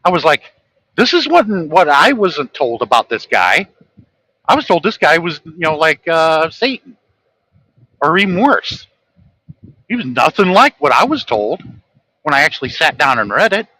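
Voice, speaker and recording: A man's voice: on the high side, very animated, normal speed, long pauses, pushed and bright, a little hiss or hum, low-quality recording. A man from the United States hosting a livestream, talking earnestly to his viewers as he reads from his own notes. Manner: earnest, lecturing, addresses viewers directly. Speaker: host of a livestream channel. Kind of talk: livestream monologue, reading from notes.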